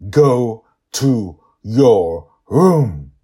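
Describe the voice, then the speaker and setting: A man's voice: mid-range voice, big pitch swings, extremely slow, warm tone, breathy edge, pitched above the performer's own voice, heavily acted. A man voicing a stern, exasperated father in a story, firm, scolding and emphatic. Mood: testy, firm.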